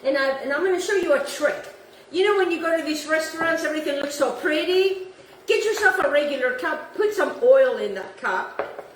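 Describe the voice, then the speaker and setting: A chatty woman from Italy, Italian her first language, talks a home cook through a recipe and repeats her tips. Her voice sits high. It is picked up from a little distance in an echoey kitchen with faint room noise. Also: animated, normal speed. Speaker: home cooking host. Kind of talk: casual cooking demonstration.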